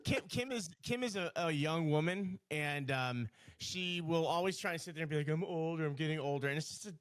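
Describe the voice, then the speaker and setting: A man's voice: on the high side, a little fast. A man co-hosting a casual podcast, teasing his guest and rambling with many restarts. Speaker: podcast co-host.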